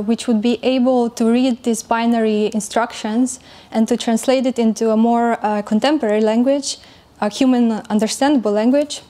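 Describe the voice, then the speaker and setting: Woman, conference presenter, mellow tone, measured academic talk, fillers. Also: a little high. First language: not German. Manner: careful, measured delivery with frequent fillers. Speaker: conference speaker.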